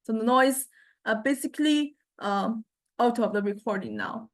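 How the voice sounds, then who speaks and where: A woman giving a step-by-step software tutorial as a lecturer, hesitant and halting, often checking in with listeners. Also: a little high. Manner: hesitant, halting delivery with repeated check-ins.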